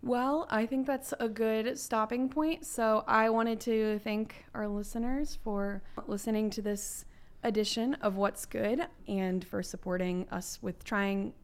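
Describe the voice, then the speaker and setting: A young woman co-hosting a casual podcast, chatty and emphatic with youthful slang, her voice a bit lowered as she recovers from flu. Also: fairly even intonation, measured pace, clear, resonant tone. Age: young adult. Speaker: podcast co-host.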